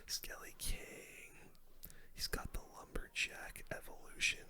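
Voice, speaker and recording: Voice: slow, bright, forceful tone. A game streamer whispering casual, slangy commentary in an ASMR style. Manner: whispering throughout; casual, slangy gamer talk. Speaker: gaming video host.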